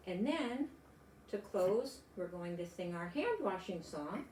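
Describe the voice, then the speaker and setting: An older woman, a grandmother storyteller, reading aloud and chatting to young children in gentle, simple phrases. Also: mid-range voice. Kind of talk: children's read-aloud and chat to young listeners. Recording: echoey room with background noise.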